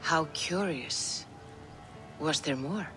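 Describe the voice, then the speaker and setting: A woman playing a wise, mystical Norse seeress in a video game, gently probing and counselling in formal, deliberate speech. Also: low-pitched. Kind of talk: dramatic video game cutscene acting. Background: music.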